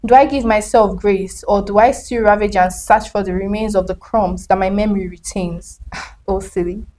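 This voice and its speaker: A young woman reading her own reflective prose in a slow spoken-word style, then asking questions as host. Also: medium pitch, steady tone, a little mellow, slight background noise. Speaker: host and writer.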